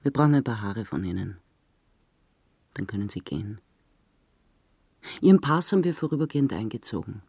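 A man's voice: lowish voice, big pitch swings, normal speed, creaky, pauses often, darkish tone, slight background noise. A man playing a dry, formal, insistent police inspector in a radio drama. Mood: probing, stern. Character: dry, businesslike police inspector conducting an interrogation.

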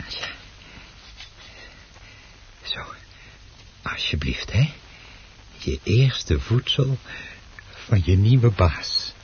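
Meek husband hiding an obsession, lowish male voice, halting and repetitive, radio drama on dull old recording. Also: darkish tone, slight background noise. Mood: absorbed, muttering. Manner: hesitant, stammering, repeats himself. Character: secretive henpecked husband obsessed with his rare plant. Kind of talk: Dutch radio play acting.

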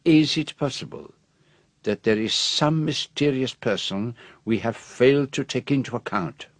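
A fussy Belgian detective in a radio play, with a French-tinged Belgian accent. His husky voice is close and dry, and he speaks precisely, weighing each phrase with theatrical, self-dramatising pauses. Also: medium pitch, animated, no echo. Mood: methodical, probing.

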